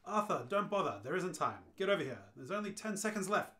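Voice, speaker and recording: A man voicing a brisk, unfazed alien hitchhiker who guides a confused friend, in a radio play read-through. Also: high-pitched, lively intonation, bright, forceful tone, pitched above the performer's own voice. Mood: urgent, impatient. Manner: matter-of-fact, unfazed.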